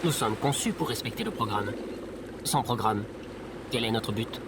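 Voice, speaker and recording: Woman playing a very low, stubborn droid. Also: normal speed, heavy background noise. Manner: flat-worded, obstinate, literal. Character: glum, stubborn old droid fixed on its programme. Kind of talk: audio drama acting.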